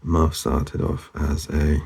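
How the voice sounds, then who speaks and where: Very deep, gravelly man murmuring casually. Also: flat delivery, slow, darkish tone. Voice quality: very gravelly, husky. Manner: laid-back, murmuring, trailing off. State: losing his voice, hoarse. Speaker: artist hosting a drawing channel. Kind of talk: casual narration while drawing.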